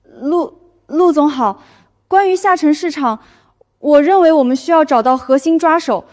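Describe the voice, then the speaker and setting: Woman voicing young female office worker, high voice, excitable, feisty, easily flustered, animated comedy drama. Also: normal speed, natural voice. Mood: nervous, bluffing. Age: young adult. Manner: excitable, glib, indignant. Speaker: office planner.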